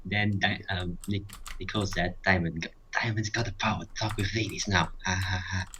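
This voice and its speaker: A man telling a casual anecdote in a halting, repetitive, rambling way. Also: low voice, fairly even intonation, bright, forceful tone. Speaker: guest storyteller. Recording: noisy home mic, clicking and typing. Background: clicking, keyboard typing, background noise.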